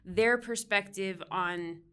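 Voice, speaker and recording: A woman's voice: medium pitch, slow, fairly bright tone, clean tone. A woman from Miami who works as a counseling professor, explaining her research in a casual way with frequent "um" and "like". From Miami, United States. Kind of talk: conversational podcast interview.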